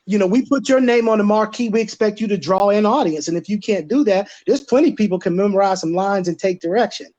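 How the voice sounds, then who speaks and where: Man, high voice, emphatic colloquial panelist, dull lo-fi recording. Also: fast talker, hardly any pauses, a little mellow. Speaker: stage play writer-director and producer. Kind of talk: panel chat.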